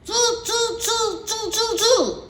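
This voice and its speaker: Woman imitating stubborn storybook monkeys, very high squeals, repetitive mocking chatter, roomy echo. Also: slow, fairly bright tone, full character voice. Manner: short, repeated, mocking chatter. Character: cheeky, stubborn monkeys mimicking with chattering tsu sounds. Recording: roomy echo, faint background noise.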